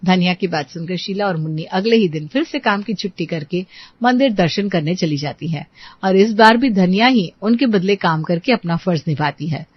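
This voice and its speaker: A woman's voice: fairly low pitch, expressive, normal speed, breathy edge, no room sound, highs cut off. A woman narrating a scripted domestic story.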